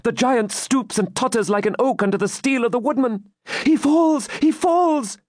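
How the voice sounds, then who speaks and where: A man playing a high, breathless, fervent young maiden. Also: brisk, dry recording, studio quality. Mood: frantic, exultant. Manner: breathless, exclamatory, archaic dramatic speech.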